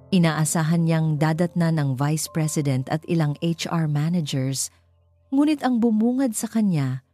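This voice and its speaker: A woman's voice: sits low, dark tone. A woman with a Filipino accent narrating a scripted dramatic story.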